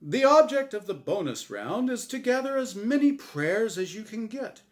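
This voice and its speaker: A man's voice: sing-song, normal speed. A man voicing a gleeful, smugly cheerful angel game-show host with a big announcer delivery, in a story reading. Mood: showy, excited. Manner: booming, exaggerated announcer delivery.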